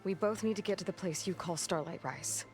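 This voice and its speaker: A woman playing a level-headed, empathetic outlander adventurer in a video game, speaking in a measured, understated way. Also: on the low side, lightly acted. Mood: wry, practical. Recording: loud music under the voice.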